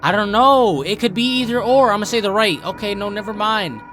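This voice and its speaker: Young american guy reacting to horror vids, highish voice, slangy n jokey, faint music. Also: brisk, fairly bright tone. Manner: slangy, exclamatory, joking. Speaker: host of a reaction channel. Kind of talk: casual horror reaction commentary.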